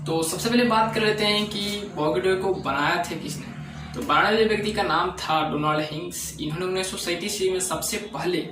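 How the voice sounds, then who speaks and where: High-voiced man in an echoey room. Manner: repeats and rephrases himself often. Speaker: tech channel presenter. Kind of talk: informal explainer narration. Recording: echoey room with background noise.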